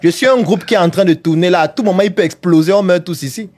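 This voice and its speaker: A man's voice: high voice, fast talker, darkish tone. A man debating on a podcast, lecturing emphatically and firing rhetorical questions. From Africa. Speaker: podcast guest, entrepreneurship coach. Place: studio podcast set.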